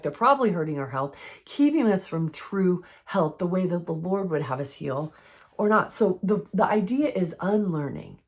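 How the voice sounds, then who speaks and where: A woman with a froggy, hoarse voice from recent illness, giving an earnest, conversational faith-based health teaching. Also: lowish voice, a little mellow. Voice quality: froggy, strained voice.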